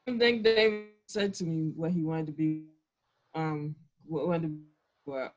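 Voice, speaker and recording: A woman's voice: low voice, fairly even intonation, normal speed. A woman guest in a casual talk about marriage who corrects herself as she goes. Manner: laughing, self-correcting. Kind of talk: casual conversation.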